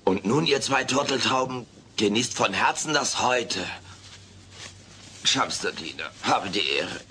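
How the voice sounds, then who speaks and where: Casting: wisecracking alien houseguest, bright forceful voice, theatrical and cheeky, sitcom audio drama. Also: male voice, mid-range voice, expressive, a little slow, slight background noise. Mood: jovial, showy. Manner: theatrical, cheeky, mock-grand delivery. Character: wisecracking, meddling furry alien houseguest, male. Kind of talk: dubbed sitcom audio play acting.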